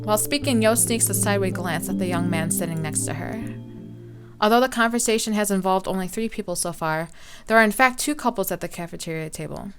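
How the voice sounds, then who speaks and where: A woman's voice: medium pitch, fast talker. A woman hosting a let's play, reading a visual novel aloud informally, with some stumbles and game music behind her.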